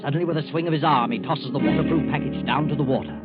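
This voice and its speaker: A man narrating a 1940s radio suspense story in a dramatic, deliberate present-tense style. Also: on the high side, natural voice. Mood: suspenseful. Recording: tinny 1940s radio, loud music behind.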